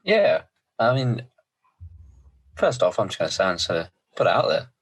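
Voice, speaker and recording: Croaky low-voiced man. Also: big pitch swings, moderate pace. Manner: enthusiastic, with frequent ums. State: slightly croaky voice. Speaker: podcast host. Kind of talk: casual podcast chat.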